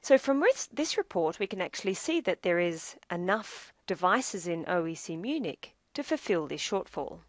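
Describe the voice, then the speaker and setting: A woman with a somewhat low voice narrates a software demonstration from a script. She explains each step in a measured way. The sound is close and dry, with very little room in it. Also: sing-song, no room sound. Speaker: software demo presenter.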